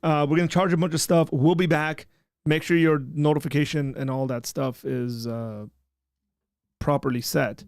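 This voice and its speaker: Man, livestream host, gravelly voice, loose rambling chat, dry sound. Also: on the high side, moderate pace, dry recording. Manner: relaxed, rambling, trailing off quietly.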